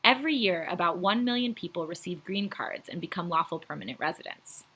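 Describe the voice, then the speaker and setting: Bright, slightly husky woman presenter. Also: lowish voice, lively intonation, a little fast, rough recording. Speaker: presenter of an educational video. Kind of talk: scripted informational narration.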